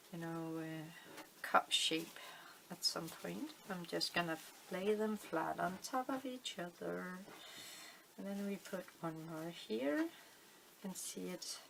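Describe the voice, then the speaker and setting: Danish woman, middle-aged, craft tutorial host; slow, fry in the voice, a faint room hiss behind her. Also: lowish voice, fairly bright tone, low-quality recording. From Denmark. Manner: unhurried, thinking aloud with many fillers. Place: indoors at a table, hands on camera.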